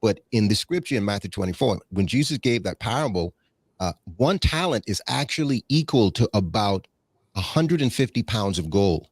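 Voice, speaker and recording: A man's voice: fairly low pitch, big pitch swings, moderate pace, breathy edge, no room sound, studio quality. A man from the Bahamas, a guest in an interview, talking in a reflective, rambling way with many fillers and restarts and a preacherly habit of citing scripture. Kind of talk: casual conversational interview.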